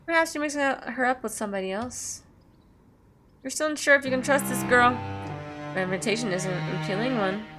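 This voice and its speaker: A woman's voice: fairly high pitch, brisk. A woman reading a visual novel aloud as a gaming YouTuber, with casual, blunt, sweary asides. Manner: blunt, sweary reactions between read passages. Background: music.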